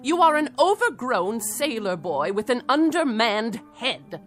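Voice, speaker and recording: An actress in a radio drama playing a proud, exasperated wife with cutting, formal, sardonic delivery. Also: female voice, on the high side, very animated, unhurried, fairly bright tone, soft background music. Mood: scornful. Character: exasperated, scornful wife, sharp-tongued and proud. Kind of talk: theatrical radio drama acting.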